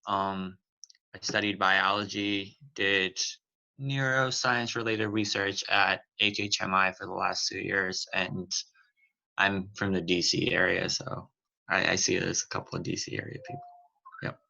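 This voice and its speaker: Male, twenties, prospective student. Even, creaky voice, casual introduction, dull video-call audio. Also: low-pitched, unhurried. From Ethiopia. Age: 20s.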